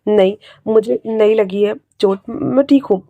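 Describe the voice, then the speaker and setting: A woman's voice: mid-range voice, measured pace, vocal fry, dark tone, no room sound. A woman voicing a jittery young man who stammers and pleads, frightened and guilty, in a spoken story. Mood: nervous, pleading.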